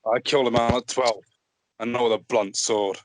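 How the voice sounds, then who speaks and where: A man's voice: medium pitch, fairly even intonation, normal speed, dry recording, lightly acted, low-quality recording. A hardened, contemptuous knight read by a man at an amateur table read. His mid-range voice is blunt and menacing. The sound is dry, with no room around it. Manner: blunt, terse.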